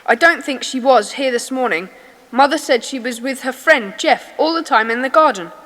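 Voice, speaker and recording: A woman's voice: high-pitched, lively intonation, normal speed, a bit bright, in a large hall. A woman playing an earnest, puzzled schoolboy in a children's adventure audio drama. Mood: exasperated.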